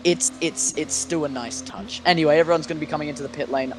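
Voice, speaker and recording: A man's voice: on the high side, steady tone, fast, slight background noise, rough recording. A man co-commentating a sim race, playful and dramatic, often stammering as he starts a sentence.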